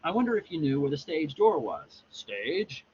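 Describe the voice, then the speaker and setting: Timid young woman, polite and flustered, acted by a man, slightly higher, on a faintly noisy, dull recording. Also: sing-song, moderate pace, a little mellow.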